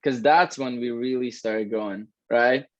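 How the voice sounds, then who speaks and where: A young man in his twenties, from Iran, talks loosely, swears often and tags his sentences with "right?". His voice sits at an ordinary pitch. The recording sounds dull and lo-fi, with a dry, close feel. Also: unhurried, no echo. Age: 20s. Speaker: co-host. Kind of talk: casual chat.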